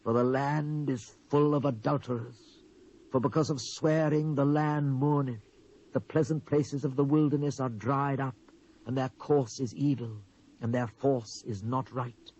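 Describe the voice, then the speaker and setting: Stern wrathful prophet, British accent, solemn declamation, medium-pitched voice, dramatised Bible reading, dull lo-fi cassette sound. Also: male voice, steady tone, a little slow, darkish tone. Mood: grieving, solemn. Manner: solemn, declamatory, swelling and dropping for emphasis. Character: stern, wrathful male Hebrew prophet speaking for God. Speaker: Bible narrator. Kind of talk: dramatised King James scripture reading.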